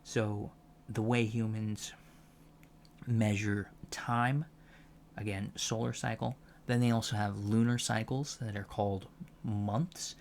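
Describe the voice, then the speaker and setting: A soft-spoken man in an ASMR roleplay as a professor, explaining things haltingly with pedantic asides. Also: on the low side, flat delivery, creaky, slight background noise. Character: patient alien professor lecturing on humans, fascinated by them. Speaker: ASMR roleplay performer playing an alien college lecturer.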